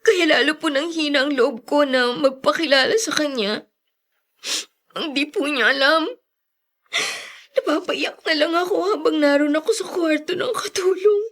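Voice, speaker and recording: An actress playing a sorrowful, apologetic young daughter in a radio drama, speaking in a tearful, pleading way. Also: female voice, sits high, moderate pace, a bit bright. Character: sorrowful, apologetic young daughter longing for her birth mother. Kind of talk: Tagalog radio drama acting.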